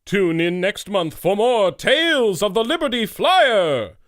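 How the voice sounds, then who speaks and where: A man's voice: high voice, very animated, a little slow, fairly bright tone, no room sound, clean studio sound. A man announcing scripted credits in the style of an old-time radio serial.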